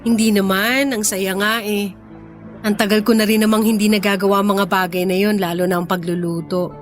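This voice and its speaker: An actress playing a young woman in a radio drama, speaking in natural, expressive dialogue. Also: mid-range voice, moderate pace, close to an everyday voice. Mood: light, shy. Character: apologetic young woman, grateful but fiery when provoked. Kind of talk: radio drama acting, conversational. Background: music bed.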